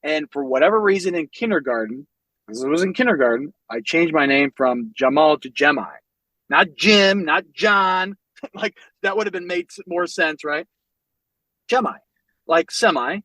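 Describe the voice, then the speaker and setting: Animated, swearing man telling family stories. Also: a little high, normal speed, dry recording, rough recording. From United States, Northwest Indiana. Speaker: podcast guest. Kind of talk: casual storytelling conversation.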